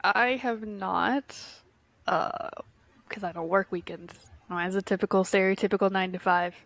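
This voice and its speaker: A woman's voice: mid-range voice, steady tone, moderate pace, gravelly, a bit bright, no echo. A congested woman co-hosting a podcast, laid-back and jokey in casual chat. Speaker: podcast co-host. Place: recorded in person, dry close sound.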